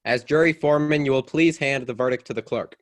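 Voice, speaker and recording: A man's voice: mid-range voice, fast talker, dry recording, natural voice. A man playing a stern, impatient judge in a radio play, with a formal, commanding manner.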